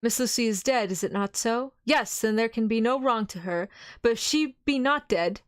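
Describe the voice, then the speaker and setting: A woman's voice: a little high, moderate pace, no room sound, clean studio sound, voice pushed up, lightly acted. A woman voicing a grave elderly foreign professor in a read-aloud novel, speaking formally, sternly and earnestly.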